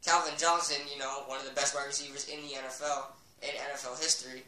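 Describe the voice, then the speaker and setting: A man's voice: mid-range voice, little pitch movement, fast talker, pushed and bright, a little hiss or hum. A man with a cold, sniffling slightly, giving casual football predictions in a rambling, opinionated way full of ums. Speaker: sports vlogger. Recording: echoey room, far from mic.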